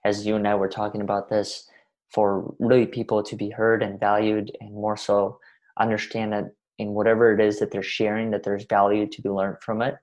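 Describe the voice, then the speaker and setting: Low, flat-voiced young man from Russia. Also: lo-fi sound. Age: 20s. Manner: earnest, measured, speaks in long winding sentences. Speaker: podcast guest. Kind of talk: reflective interview talk.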